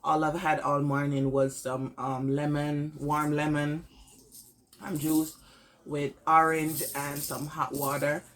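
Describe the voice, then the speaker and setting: A young woman with a cold, congested and tired, chatting to her camera at home in a casual vlog update, halting with lots of fillers. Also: little pitch movement. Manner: low-energy, tired, halting with many fillers. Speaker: vlogger.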